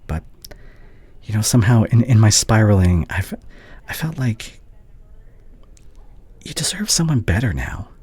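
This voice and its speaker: A man playing a heartbroken, devoted young doctor ex-boyfriend in an intimate audio roleplay, hushed and halting, often slipping toward a whisper. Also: on the low side, big pitch swings, slightly rough, dry recording, exaggerated voice. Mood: hesitant, tender. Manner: halting, emotional, confessional.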